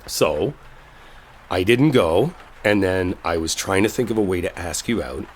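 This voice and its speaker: Roleplay audio lead: male actor as smitten, sincere office colleague, deep voice, colloquial halting confession, faint rainstorm ambience behind. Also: lively intonation, slight background noise. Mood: flustered, pleading. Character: earnest lovestruck male coworker clearing up a misunderstanding. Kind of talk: intimate dramatic roleplay audio, one-sided conversation.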